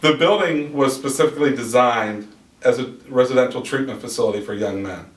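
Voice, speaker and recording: A man of middle pitch speaks plainly and evenly, stumbling now and then over a word. He sits a step back from the mic in a room with a clear echo. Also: fairly quick, recorded from a distance, over music. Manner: plain and even, some stumbles. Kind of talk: interview talk.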